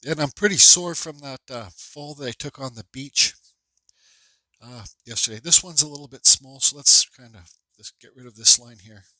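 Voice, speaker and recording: A man's voice: fairly high pitch, moderate pace, sharp tone, dry recording, poor audio. A congested man casually narrating a carving tutorial at his workshop bench, rambling with fillers and restarts. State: stuffed up, congested. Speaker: woodcarving instructor.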